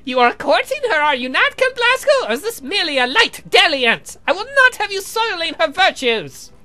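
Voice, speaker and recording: Woman acting stern old vampire patriarch, high strained pitch, imperious, formal, protective, game character over music. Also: sing-song, sharp tone, heavily acted. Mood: stern, probing. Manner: formal, imperious, measured speech. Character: vampire sea captain, stern protective great-grandfather, formal old master.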